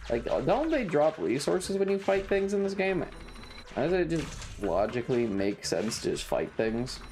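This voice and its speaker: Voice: medium pitch, big pitch swings, measured pace, a little mellow. A streamer chatting casually while playing a game, with a sarcastic, profane manner. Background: music.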